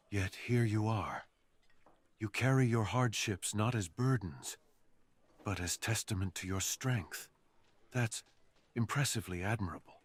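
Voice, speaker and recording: A brooding fox assassin, acted out by a man speaks in a fairly low voice for an ASMR roleplay. He is intimate and flirtatious, leaving dramatic pauses as he bares his feelings with earnest, seductive intent. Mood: tender, admiring. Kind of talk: ASMR roleplay, slow intimate scripted monologue.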